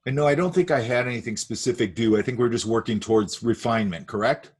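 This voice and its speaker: Male art instructor, husky, sniffly with a cold, talks in loose restarts over a video call. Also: medium pitch, fast talker. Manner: rambling, many fillers and restarts. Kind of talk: online class lecture.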